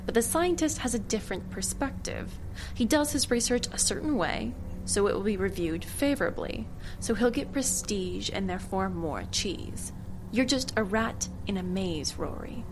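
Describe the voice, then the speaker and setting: A woman's voice: medium pitch, lively intonation, moderate pace, lightly acted. Cool, sardonic female government agent acted by a woman. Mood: matter-of-fact, persuasive. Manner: controlled, sardonic, evasive. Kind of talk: audio drama acting. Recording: clear ambient noise behind dialogue.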